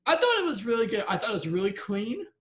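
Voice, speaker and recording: High-voiced male judge on a call, upbeat and chatty, in a roomy space. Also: quick pace. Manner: upbeat, animated, lots of "like" and "you know". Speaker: judge of a music challenge. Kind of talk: casual video-call chat. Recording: echoey room over a video call.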